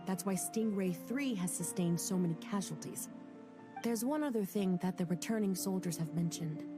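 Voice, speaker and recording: A woman's voice: medium pitch, lightly acted. A woman voicing a loyal young female military officer who briefs her leader in a game cutscene, over game music. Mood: briefing. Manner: brisk, respectful, wry. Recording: game audio with loud music.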